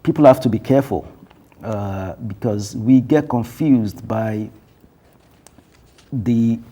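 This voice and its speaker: A man speaking on a television panel, thoughtful and halting, with many fillers and restarts. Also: medium pitch, mellow. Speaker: panel guest. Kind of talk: panel discussion.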